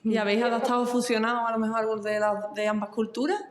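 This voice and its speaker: A woman's voice: fairly high pitch, steady tone, quick pace. A woman with an accent from Spain asking informal questions from the audience. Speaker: audience member. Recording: echoey hall, faint noise.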